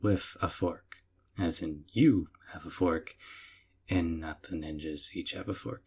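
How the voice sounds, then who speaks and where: An actor playing a sweetly possessive young yandere man in an audio roleplay, doting and softly teasing. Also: low voice, unhurried, husky, full character voice. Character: doting obsessive young male yandere captor.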